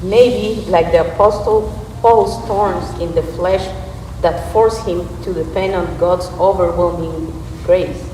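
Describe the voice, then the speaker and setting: A woman's voice: on the low side, steady tone, takes it slowly, warm tone. A woman earnestly reciting a script as a church readers theater performer. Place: large reverberant church space. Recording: echoey hall, distant mic, background noise.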